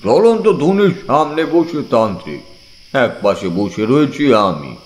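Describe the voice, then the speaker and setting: A man's voice: mid-range voice, big pitch swings, unhurried. A man giving a dramatic first-person narration of an audiobook story. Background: background music.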